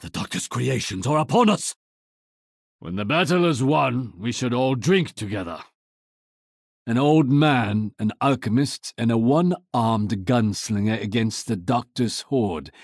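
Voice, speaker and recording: An actor playing a stern, proud exiled warrior archer with a Japanese accent and a husky voice, speaking solemnly and tersely in a video game. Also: male voice, mid-range voice, dry recording, studio quality, heavily acted.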